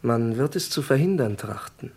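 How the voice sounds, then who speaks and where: An actor playing a courteous, confident intelligence security expert in a German radio crime drama, explaining things formally and matter-of-factly. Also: male voice, mid-range voice, expressive, normal speed, a little mellow, natural voice. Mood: calm warning.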